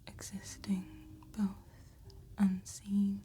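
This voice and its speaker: A woman playing an ancient, calm and seductive female vampire tattooist in a soft-spoken, whispered ASMR roleplay, with measured, formal phrasing. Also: mid-range voice, slightly rough, full character voice. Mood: hushed storytelling. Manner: slow, measured, formal, old-fashioned phrasing.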